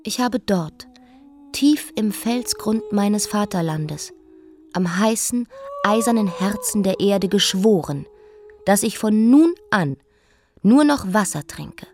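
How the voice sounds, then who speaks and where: A woman's voice: medium pitch, animated, slow pace, darkish tone. A woman playing a proud, headstrong queen in a German radio play, speaking in emphatic, declamatory phrases. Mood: solemn, defiant. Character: headstrong, imperious young queen, proud and evasive.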